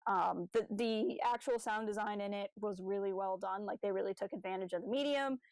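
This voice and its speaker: A woman's voice: medium pitch, fairly quick, a little mellow, no echo, poor audio. A young adult woman co-hosting a podcast, talking informally and with some self-deprecation in casual discussion. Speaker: podcast co-host.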